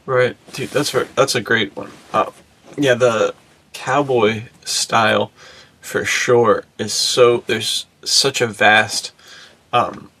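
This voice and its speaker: A man's voice: medium pitch, fairly even intonation, slow pace, bright, forceful tone, slightly rough. A man chatting casually as a podcast co-host, using slangy, bro-like phrasing.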